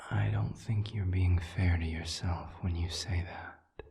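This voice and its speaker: A man playing a calm, considerate demon in an intimate audio roleplay, speaking in a breathy near-whisper with measured, formal, gentle phrasing. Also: deep voice. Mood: gentle, thoughtful. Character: calm, considerate male sadism demon offering comfort.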